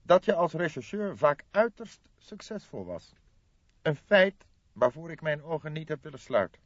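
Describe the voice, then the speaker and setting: A man voicing an affected, self-important police commissioner in an audiobook, solemn and formal, pausing for effect. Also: on the high side, very animated, no room sound, highs cut off, pitched above the performer's own voice. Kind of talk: audiobook character dialogue.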